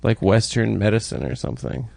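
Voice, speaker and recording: A man's voice: on the low side, brisk, creaky, a little mellow, slightly rough, no room sound. A man co-hosting a comedy podcast, teasing and needling his friend in casual banter.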